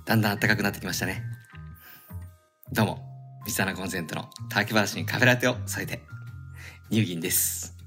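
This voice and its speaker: A man's voice: fairly low pitch, fast talker, pauses often, over music. A man co-hosting a casual podcast, playful and mock-formal. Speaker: podcast co-host.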